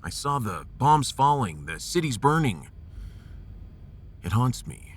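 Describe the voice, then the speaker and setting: A man playing a gruff, folksy American starship captain in a sci-fi audio drama, resolute and commanding with homespun slang. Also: mid-range voice, big pitch swings, takes it slowly, exaggerated voice. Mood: grave, resolute.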